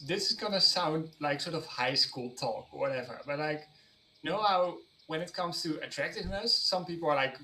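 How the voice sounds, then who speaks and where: A man's voice: on the high side, far from the mic, background noise. A man chatting on voice chat, hesitant and searching for words as he talks. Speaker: guest on voice chat. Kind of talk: casual chat.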